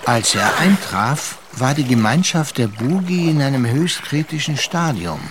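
A man narrating a literary radio drama with measured, deliberate phrasing and pauses. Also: mid-range voice, sing-song, background noise.

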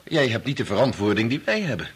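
Touchy, very husky married man. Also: mid-range voice, very animated, fast. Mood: blunt. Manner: indignant, sarcastic, blunt. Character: touchy, argumentative married father, sarcastic. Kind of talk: Dutch radio play acting.

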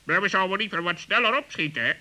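A high, piercingly bright animal character in a children's radio play. He is haughty and brusque, with swooping, pompous delivery. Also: lively intonation, quick pace, exaggerated voice. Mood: brisk. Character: brusque, haughty male animal companion of a gnome.

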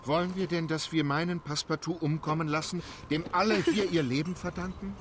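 An actor in a radio drama playing a calm, resolute English gentleman with measured, formal speech. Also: fairly high pitch, slight background noise, lightly acted.

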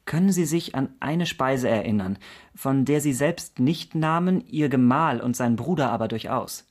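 An actor playing a courteous, persistent investigator in a period radio crime drama, asking clipped, formal questions. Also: male voice, mid-range voice, lively intonation, normal speed, natural voice. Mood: probing, formal. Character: formal, persistent male investigator in 17th-century Paris. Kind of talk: German radio drama acting.

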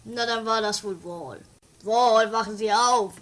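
A woman playing a suspicious, bumbling police inspector in an amateur comic radio play, melodramatic and hesitant, then suddenly loud. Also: fairly high pitch, measured pace, close to an everyday voice. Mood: alarmed.

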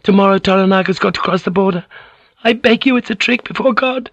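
A man's voice: a little high, fairly even intonation, a little fast, no echo, pitched above the performer's own voice, lightly acted. A man voicing a desperate young noblewoman in an audiobook, pleading frantically in a raised, strained voice. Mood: frantic. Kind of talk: audiobook character voice.

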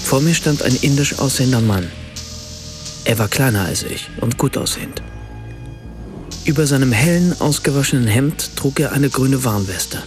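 Radio play lead: actor as thoughtful male writer telling his story, medium pitch, light music behind. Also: animated, moderate pace, close to an everyday voice. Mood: reflective. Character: reflective German writer, a father recounting his shopping. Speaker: first-person narrator. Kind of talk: scripted radio play narration.

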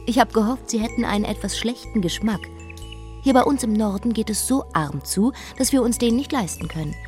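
A woman's voice: a little high, brisk, a little mellow, soft background music, close to an everyday voice. Sardonic, headstrong queen played by a woman. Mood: mocking. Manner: provocative, dryly ironic. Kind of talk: radio play acting.

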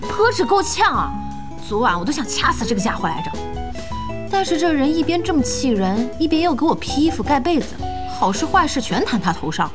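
An actress playing a feisty, bossy young internet cafe boss in an audio drama, scolding and teasing with lots of exclamation. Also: female voice, high voice, big pitch swings, a little fast, over music. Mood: exasperated. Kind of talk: Chinese audio drama acting.

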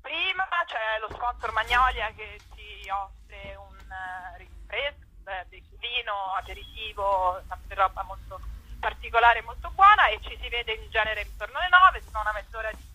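A woman's voice: mid-range voice, normal speed, bright, forceful tone, rough recording. A woman, chatting casually as an interview guest, hesitant and often trailing off.

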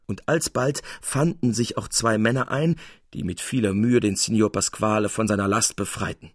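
Guy narrating an old literary story, husky and expressive, really dry studio sound. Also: lowish voice, moderate pace, no room sound. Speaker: audiobook narrator.

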